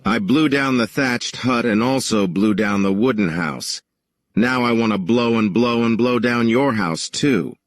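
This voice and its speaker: Storybook big bad wolf, played by a man: menacing, boastful, taunting, mid-pitched, dry recording. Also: unhurried, no room sound, natural voice. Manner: taunting, repetitive threats. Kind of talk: children's story acting.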